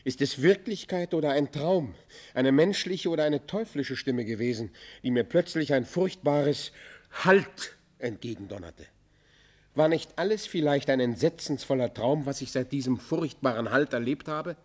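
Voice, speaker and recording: A man's voice: medium pitch, expressive, moderate pace, natural voice. A man playing a tormented, guilt-ridden fugitive outlaw in a German radio play, speaking in an anguished, declamatory literary manner. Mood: frantic, horrified.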